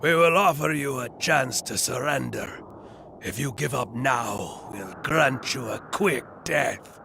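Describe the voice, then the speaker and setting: A resolute game warrior, creaky and unhurried at a middle pitch. He is stern, with faint noise on the capture. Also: sharp tone, heavily acted. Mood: threatening. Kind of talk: video game cutscene acting. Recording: noisy low-quality game capture.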